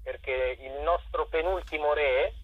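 A man's voice: fairly high pitch, moderate pace, slightly rough. A man from central Italy, a talkative interview guest on the phone, explaining things informally and correcting himself as he goes. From Chieti, central Italy. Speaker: actor and playwright, interview guest. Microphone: telephone. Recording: narrow phone line, roomy echo.

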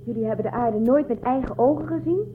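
A woman playing a proud, resigned leader of a starship colony in a science-fiction radio drama, speaking with measured, dismissive authority. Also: fairly high pitch, faint music behind, close to an everyday voice. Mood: guarded, explaining. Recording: muffled narrow-band audio with noise.

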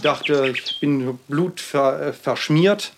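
A man giving a rambling personal monologue, speaking haltingly, often repeating himself and circling back on his phrases. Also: a little high, heavy background noise.

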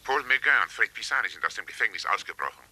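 Sharp, urgent newsroom caller. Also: male voice, fairly low pitch, big pitch swings, breathy edge, exaggerated voice. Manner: brisk, businesslike, urgent. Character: brisk, urgent newspaper colleague on the telephone. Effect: telephone. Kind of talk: German radio crime drama acting.

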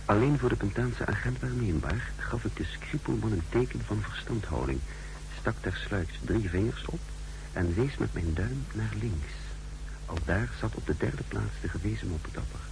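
A cool, calculating spy telling his own story in a 1960s radio play. His low, gravelly voice moves expressively through measured, literary narration. The old recording hisses clearly. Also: animated. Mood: cool, watchful. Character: cool, calculating male secret agent recounting his mission.

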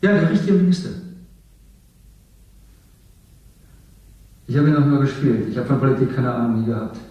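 An actor playing a worn-down stage actor under interrogation in a 1970s radio drama, defiant and bitter. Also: male voice, mid-range voice, expressive, very fast, warm tone, distant mic, dull audio, lightly acted. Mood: impassioned. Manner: defiant, then bitter and weary. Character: worn-down male actor who once played a minister. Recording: echoey room, voice off-mic.

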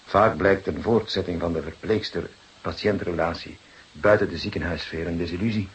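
An actor playing an anxious, defensive married gentleman in a Dutch radio drama, with halting, emotional speech. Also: deep voice, husky. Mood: wistful. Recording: hissy, noisy old recording.

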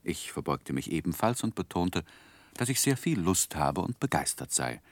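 An actor playing an earnest, polite young gentleman who tells his life story in a 1960s radio drama, with measured literary delivery. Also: low-pitched, big pitch swings, no room sound. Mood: reflective, narrating.

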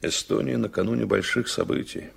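An actor playing a calm, respectful seaman in a Soviet radio drama, speaking in a measured, earnest, restrained way. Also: on the low side, vocal fry, husky, heavily acted. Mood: earnest conviction.

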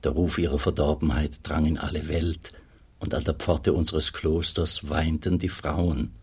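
An actor playing an old, pious, melancholy abbot in a German radio play, speaking gravely and solemnly as he looks back, with a gravelly, husky voice. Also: a bass voice, darkish tone, exaggerated voice. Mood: solemn, wistful. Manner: slow, solemn, measured storytelling.

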